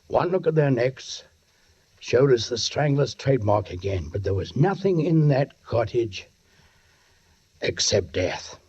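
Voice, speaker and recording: An actor playing a brooding, menacing British police sergeant in a 1940s radio suspense drama, speaking in deliberate, literary phrases. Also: male voice, mid-range voice, a little mellow. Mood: grim, haunted. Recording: muffled 1940s radio recording.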